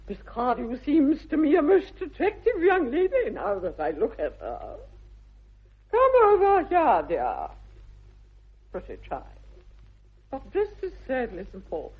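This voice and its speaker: Snobby older english lady, grand drawl, really swoopy high-to-low, tinny old radio sound. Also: husky, exaggerated voice. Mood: haughty, appraising. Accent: upper-class English. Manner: grand, haughty, emphatic drawl. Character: imperious, snobbish older aristocratic English aunt. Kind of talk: 1960s radio comedy acting. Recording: muffled, tinny vintage radio recording.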